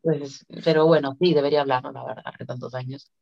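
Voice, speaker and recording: A woman's voice: a bass voice, steady tone, quick pace, vocal fry. A middle-aged woman from Latin America chatting informally as an interview guest. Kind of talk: casual conversational interview. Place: indoor room, on a video call. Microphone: video call device microphone. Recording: dull, lo-fi video call audio.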